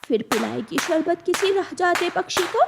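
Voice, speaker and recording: Woman as a high-voiced, snappish stepmother bird. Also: animated, moderate pace, creaky, background noise, exaggerated voice. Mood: angry. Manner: scolding, snappish. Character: harsh, hot-tempered stepmother bird.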